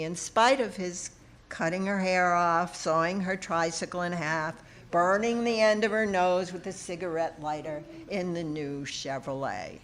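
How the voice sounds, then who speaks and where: A woman reads a personal essay aloud at a slow pace in a mid-pitched voice. Her phrasing is deliberate and dramatic, with pauses that set each phrase apart, in the manner of a writer presenting her own literary work. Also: a bit bright.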